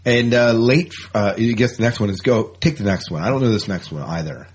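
A man's voice: fairly low pitch, fairly quick, slightly rough. A man hosting a podcast in a casual, informal, easygoing chat style. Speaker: podcast host.

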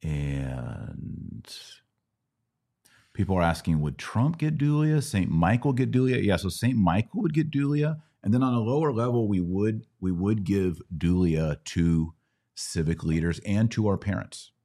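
A middle-aged American man hosting a religious Q&A, explaining things casually like a teacher and often checking in with a quick "right?". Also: on the low side, lively intonation, mellow. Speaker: podcast host answering Bible questions.

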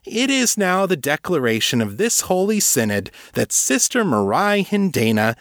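A man's voice: sits high, big pitch swings, normal speed, no room sound, clean studio sound, pitched above the performer's own voice, full character voice. A man voicing an angry young feline priestess who speaks in a fierce, accusatory way. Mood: accusing, formal. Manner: shouting, snarling, accusatory.

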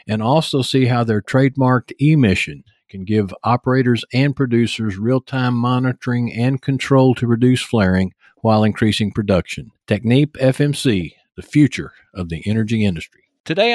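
A man's voice: mid-range voice, animated, moderate pace, hardly any pauses, no echo, clean studio sound. A man with a Texan accent hosting a podcast in a folksy, joking way, moving between interview chat and sponsor reads. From Texas, United States. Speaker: podcast host.

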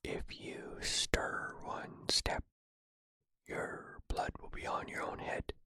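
A boastful storybook bandit, as a narrator whispers him, full of bluster and put-on threats. The voice is a slow, breathy whisper, crisp and bright in tone, with dry, close sound. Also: no echo. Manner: mock-threatening, bragging speech.

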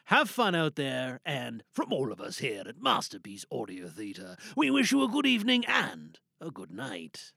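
A podcast host speaking in a theatrical, animated way, reading out announcements and chatting to listeners. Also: medium pitch, moderate pace, vocal fry, few pauses, slightly rough, no echo, clean studio sound.

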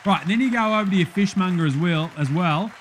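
A man's voice: sits high, fast talker, some chatter behind, slight background noise, close to an everyday voice. A man voicing a brash, matey Australian bloke hosting a spoof cooking show, giving blokey, slangy, casual instructions. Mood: matey, instructive. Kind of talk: parody TV cooking demonstration.

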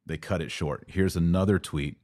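Deep-voiced man giving podcast commentary. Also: moderate pace, a little mellow. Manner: explanatory, emphatic. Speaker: podcast host. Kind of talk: informal political commentary reacting to clips.

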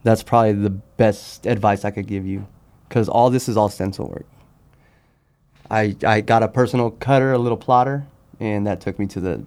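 A man with a fairly low voice in a casual interview. He explains things informally, halting and doubling back on words as he goes. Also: fairly even intonation, darkish tone. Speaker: podcast guest, sneaker customizer.

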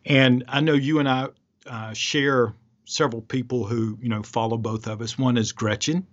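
A man hosting a podcast interview, speaking slowly and thoughtfully in a relaxed, agreeable way. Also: medium pitch. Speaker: podcast host. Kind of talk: casual interview conversation. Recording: muffled audio.

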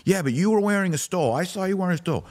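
Whiny, accusing tattletale complainer, acted by a man in mockery, pitched high and indignant. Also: lively intonation, very fast, a little mellow. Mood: indignant tattling.

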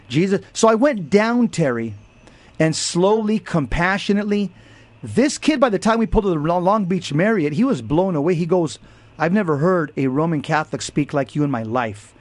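Earnest, emphatic radio co-host, dry studio sound. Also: male voice, on the high side, no echo. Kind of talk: radio talk show storytelling and teaching.

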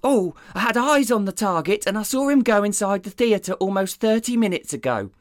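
A man voicing a dim, easily distracted male deputy who rambles in a high, fluttery voice. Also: expressive, moderate pace, no room sound, clean studio sound. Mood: chatty.

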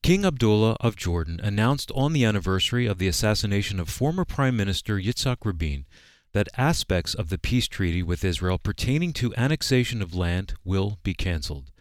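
A man's voice: on the low side, lively intonation, brisk, no room sound, clean studio sound. A man working as a radio host, reading a scripted introduction and news in an announcer's style.

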